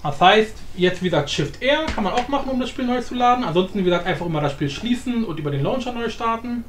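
A man's voice: sits high, lively intonation, fast talker. Man, very varied intonation, informal tutorial host, roomy sound, faint background noise. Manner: informal, explanatory, often adds tag questions. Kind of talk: casual screen-recorded software tutorial. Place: indoor room, voice-over of screen recording.

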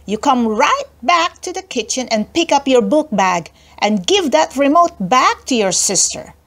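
A woman's voice: sits high, big pitch swings, a little slow, a bit bright, full character voice. A woman voicing a stern but caring mother, firm and admonishing, in a children's storybook reading. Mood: stern, scolding. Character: stern, caring mother scolding her son. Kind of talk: children's audiobook character voice.

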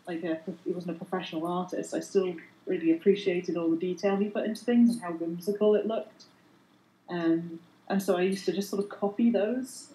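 A woman chatting on a panel, friendly and quick to laugh, over an earphone mic at home. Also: lowish voice. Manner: warm, chatty, laughs often. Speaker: illustrator panelist. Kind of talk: casual panel chat. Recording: echoey room.

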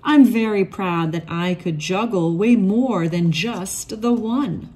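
A woman reading a rhyming children's picture book aloud in an expressive, measured storytelling manner. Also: medium pitch, breathy edge. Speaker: read-aloud storybook reader.

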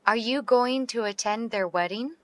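A woman reading short English practice dialogues aloud, slowly and carefully enunciated. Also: on the high side, a bit bright, dry recording. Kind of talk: slow read-aloud English practice phrases.

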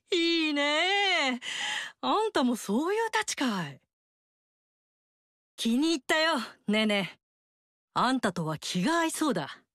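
A brash, motherly warrior woman descended from a fire goddess, bossing and teasing with big-sister swagger. Her pitch sits fairly high and swings widely. The game studio recording is dry and close. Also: wildly swooping pitch, slow, long pauses, a bit bright, no room sound, full character voice. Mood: warm.

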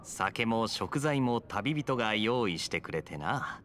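An actor playing a suave, teasing young cavalry captain in an anime game, casual and easygoing. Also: male voice, on the low side, expressive, slow pace, slight background noise. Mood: reassuring, teasing. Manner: casual, smooth-talking. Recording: game audio with loud music behind.

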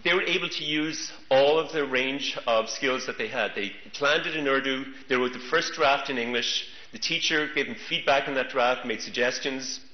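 A middle-aged man giving a conference keynote at a podium microphone, talking in a measured, thoughtful way with frequent pauses and fillers. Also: mid-range voice. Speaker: keynote speaker. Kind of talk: conference lecture. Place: large conference hall. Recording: roomy, dull conference recording.

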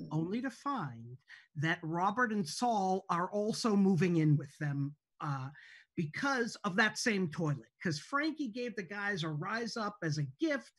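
A woman giving an informal spoken introduction on a video call, speaking in a hesitant, halting way. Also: medium pitch, fairly bright tone, slightly rough. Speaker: TV show creator introducing a table read. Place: indoors at home on a video call. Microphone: video call microphone.

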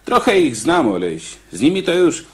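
An actor playing a streetwise, dismissive criminal in a prison radio drama, talking in an offhand, brusque way. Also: male voice, a little high, sing-song, moderate pace. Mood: matter-of-fact.

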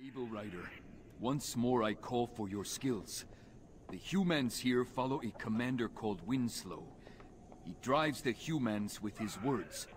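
An actor playing a stern, formal and insistent alien warrior leader in a video game. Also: male voice, medium pitch, lively intonation, a little slow, slight background noise, lightly acted. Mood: stern, urgent. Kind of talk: video game dialogue acting.

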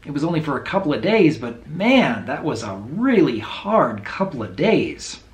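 A man in his thirties with a low voice, talking earnestly to camera in a home video, thoughtful and openly unsure. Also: sing-song, moderate pace, reverberant. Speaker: video creator. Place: small room indoors at home.

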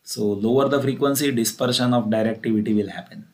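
A man's voice: medium pitch, fast. A man with an Indian accent giving a plain, methodical technical lecture, repeating key phrases as he explains. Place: voice-over for a screen recording.

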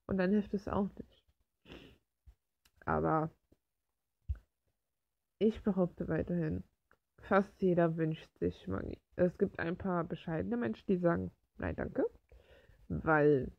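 A woman's voice: lowish voice, creaky, no room sound, dull audio. A woman in a casual, rambling monologue, reflective and full of rhetorical questions. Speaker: video creator talking while drawing.

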